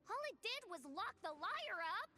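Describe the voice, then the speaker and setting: A woman's voice: very high-pitched, sing-song, normal speed, pushed and bright, exaggerated voice. A woman voicing a grandiose, theatrical young girl in a video game, speaking in pompous, archaic phrases. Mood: puzzled, wary. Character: theatrical, grandiose young girl playing dramatic princess villain. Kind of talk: video game character acting.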